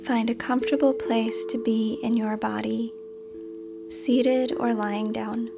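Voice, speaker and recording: A woman reading a script as a meditation guide, with a soft, deliberate, instructional delivery. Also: a little high, flat delivery, vocal fry. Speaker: meditation guide and podcast host. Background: background music.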